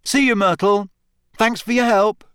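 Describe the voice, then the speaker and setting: A man's voice: high voice, fairly even intonation, unhurried, fairly bright tone, clear, resonant tone, no echo, clean studio sound, voice pushed up. A man voicing an earnest, puzzled schoolboy in an audiobook, questioning and slightly hesitant, sometimes impatient. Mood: polite, distracted. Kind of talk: audiobook character voice.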